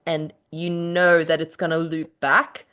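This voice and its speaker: A woman in a casual chat between writers, telling stories in an effusive, animated way with the odd casual swear word. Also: low voice, measured pace, clean tone.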